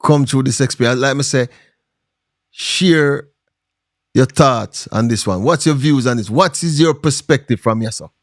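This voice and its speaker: A man's voice: medium pitch, measured pace, no echo. Emphatic Jamaican man, dry sound. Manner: emphatic, rhetorical. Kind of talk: casual commentary to camera.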